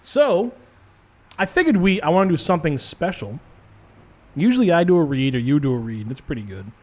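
A male radio host with a slightly raised pitch, rambling through casual banter between songs. He jokes loosely and laughs often. He talks from a radio studio. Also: big pitch swings, fairly quick, darkish tone.

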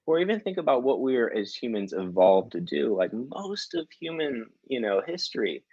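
A man's voice: medium pitch, big pitch swings, moderate pace, a little mellow, slightly rough, muffled recording. A man as a podcast guest, musing thoughtfully with trailing restarts. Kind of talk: casual interview chat.

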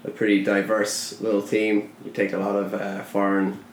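A young man with a softened Irish accent, telling stories in a relaxed casual podcast chat. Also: fairly low pitch, moderate pace. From Ireland. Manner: relaxed storytelling, light laughs. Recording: echoey room with faint noise.

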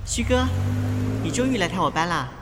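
A man's voice: high voice, lively intonation, brisk, noisy background. A man playing an ingratiating young male actor, sweetly wheedling and falsely meek, in an audio drama. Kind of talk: Chinese audio drama acting.

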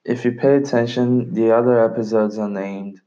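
A young Brazilian man with a slightly North American-tinged accent, hosting a casual solo podcast in a relaxed, rambling way and swearing casually. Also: lowish voice, normal speed, dull audio. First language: Portuguese. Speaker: podcast host.

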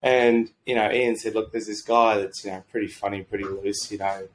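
A slightly croaky Australian man talking in a rambling, informal, mildly sweary way as a podcast guest. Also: fairly low pitch, completely flat delivery, a little fast, rough recording. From Sydney, Australia. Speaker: podcast guest, medical doctor and entrepreneur. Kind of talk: casual conversational interview.

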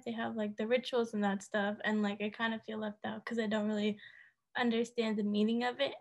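Rambling teen girl, high voice. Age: teens. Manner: rambling, filler-heavy, laughing. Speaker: podcast co-host. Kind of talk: casual chat.

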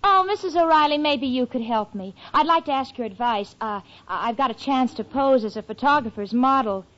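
A woman's voice: high voice, animated, brisk, fairly bright tone. An actress playing a sensible, eager young city woman with a wry streak in a 1940s radio comedy. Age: young adult. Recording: dull vintage radio recording.

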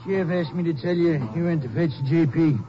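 A man's voice: on the high side, a little fast, slight background noise, natural voice. An actor playing a plain-spoken male rancher with folksy, rustic talk in a 1950s radio drama, on a lo-fi broadcast.

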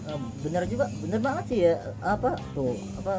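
Brief: easygoing co-host from Jakarta, slightly raised pitch, loud music under him. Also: male voice, very animated, fairly quick. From Jakarta, Indonesia. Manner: laid-back, easygoing banter with slang. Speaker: podcast co-host. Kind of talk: casual joking chat.

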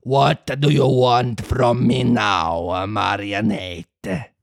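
A man voicing a gruff, volatile male puppet-theatre master with a slow, hoarse growl. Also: medium pitch, little pitch movement, no room sound. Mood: pitiless.

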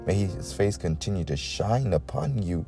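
A man with a deep, dark-toned voice leads a devotional prayer as a preacher. He is earnest and leans on repeated amens and invocations, with faint music playing behind him. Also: expressive, normal speed, creaky. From the Bahamas. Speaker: preacher leading a morning prayer. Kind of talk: devotional talk and extemporaneous prayer.

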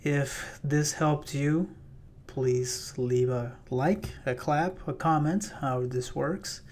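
A man hosting his own podcast in a loose, chatty way with many fillers, his voice a little raspy. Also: on the high side, takes it slowly, darkish tone. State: slightly raspy voice. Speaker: podcast host and realtor. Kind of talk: casual solo podcast talk. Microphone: plugged-in microphone.

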